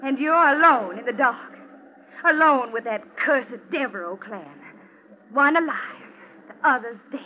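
A woman's voice: sits high, animated. An actress playing a vengeful wife who coldly taunts her murderous husband, theatrical and ominous, in an old-time radio thriller. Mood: cold menace. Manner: ominous, deliberate, mocking, theatrical. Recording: muffled, noisy old radio drama recording.

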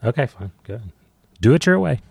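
A man hosting a podcast on a close microphone, bantering in a deadpan, teasing way with mock-grand introductions. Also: medium pitch, moderate pace, creaky, breathy edge, no room sound. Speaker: podcast host; father of the young reader.

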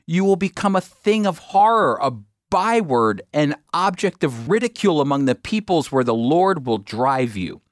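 A man's voice: fairly high pitch, no echo, studio quality. A man giving sermon-like Bible teaching in an emphatic, explanatory manner, repeating phrases for stress.

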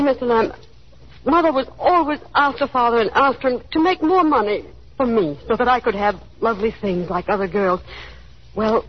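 An actress playing an earnest young daughter in a 1930s radio drama, pleading and self-blaming. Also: female voice, medium pitch, natural voice. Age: young adult. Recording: muffled, noisy 1930s radio broadcast recording.

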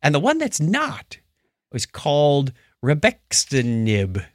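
A man's voice: a little high, huge pitch swings, slow pace, no room sound, clean studio sound. A man hosting a casual podcast, retelling odd news stories in a wry, bantering way. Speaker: podcast host.

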